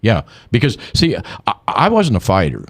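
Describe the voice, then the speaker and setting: Older man, radio guest, swooping lively pitch, lowish voice, repeats words. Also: normal speed. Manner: animated storyteller, repeats words when excited. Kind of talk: radio interview.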